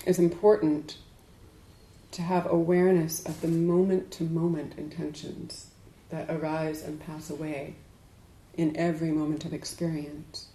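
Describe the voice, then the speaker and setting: A woman giving a dharma talk to a group as a Buddhist teacher, speaking in a measured, deliberate way with emphatic pauses. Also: sits low, gravelly, dark tone. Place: indoors in a room. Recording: echoey room.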